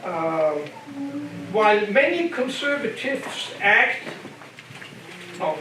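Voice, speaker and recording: Older german guy lecturing at church, high-ish voice, very echoey room from far off. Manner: conversational, repeats and restarts phrases, asks the room "okay?". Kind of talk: church adult education lecture. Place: church hall. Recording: very echoey hall, distant mic, background noise.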